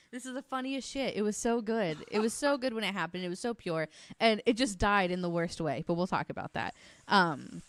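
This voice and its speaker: A woman's voice: mid-range voice, dry recording, studio quality. A woman hosting a true crime podcast in casual, giggly, informal talk. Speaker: podcast host.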